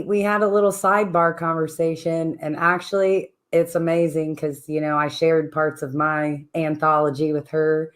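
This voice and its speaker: Raspy-voiced chatty woman co-host. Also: low voice. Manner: chatty, laughing, lively. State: raspy from a trip. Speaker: radio co-host. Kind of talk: casual on-air chat.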